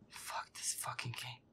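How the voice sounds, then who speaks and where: Man playing a feisty, whispery, grumbling boyfriend. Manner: exasperated, sweary, sarcastic. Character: feisty werewolf boyfriend grumbling through a scary game. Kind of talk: gameplay roleplay, muttered reactions.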